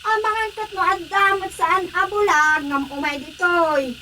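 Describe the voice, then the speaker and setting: Casting: excitable poor villager played by a voice actor, piercingly high and bright, for radio comedy, faint noise behind. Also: a little slow, exaggerated voice. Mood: complaining. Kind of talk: Ilocano radio comedy acting.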